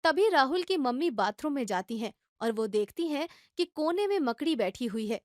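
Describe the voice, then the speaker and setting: A woman narrating a scripted children's story in a steady, plain storytelling manner. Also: high-pitched, moderate pace, dry recording, studio quality.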